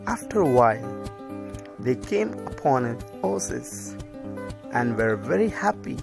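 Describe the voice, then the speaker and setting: Slow, lively male storyteller over music. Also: mid-range voice, vocal fry. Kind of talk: scripted moral story narration for children. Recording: music behind the voice.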